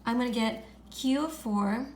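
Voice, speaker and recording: A woman with a slightly high voice, raspy from a recent illness, walks through a math lesson. She repeats each step patiently and clears her throat often. A faint hiss sits under the recording. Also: unhurried. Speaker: math teacher.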